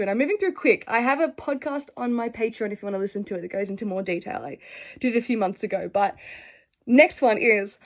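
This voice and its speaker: A young Australian woman telling personal stories to camera in an earnest, effusive, rambling way. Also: fairly high pitch. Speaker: spiritual vlogger. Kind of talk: casual personal storytelling to camera.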